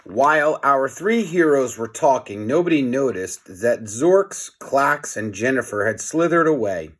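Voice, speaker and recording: Slow-paced man reading a children's story. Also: mid-range voice, expressive. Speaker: reader, teacher reading aloud to children. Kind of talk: children's story read-aloud with casual recap.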